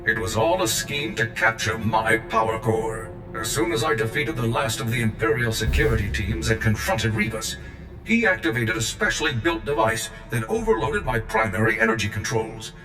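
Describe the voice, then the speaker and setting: An actor playing a loyal, zealous military droid in a video game, speaking formally, precisely and earnestly. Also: male voice, lowish voice, fairly even intonation, moderate pace, close to an everyday voice. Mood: matter-of-fact, reporting. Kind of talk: scripted video game acting. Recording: game cutscene with echo and music.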